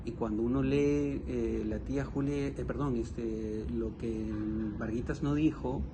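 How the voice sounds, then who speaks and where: Man, medium pitch, conversational literary talk, searching for words, noticeable background noise. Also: fairly even intonation, a little slow. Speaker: guest, writer. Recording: clearly noisy recording.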